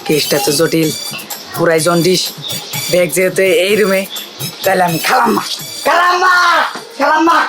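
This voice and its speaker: A woman's voice: mid-range voice, normal speed, long pauses, music in the background, close to an everyday voice. A woman voicing a comically puzzled young man in a cartoon, talking to himself in a bemused way. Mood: calling out. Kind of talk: cartoon comedy acting.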